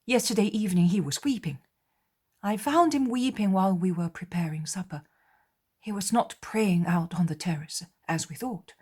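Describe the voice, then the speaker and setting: A woman voicing John, a tender and devoted young male disciple who speaks earnestly and sighs softly. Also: high-pitched, slightly rough, voice pushed up. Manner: earnest and soft, sighing.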